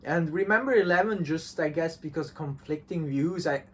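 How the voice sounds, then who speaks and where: A man talking casually and enthusiastically as a visual novel reviewer, halting and repeating himself with false starts. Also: on the high side. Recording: clearly noisy background.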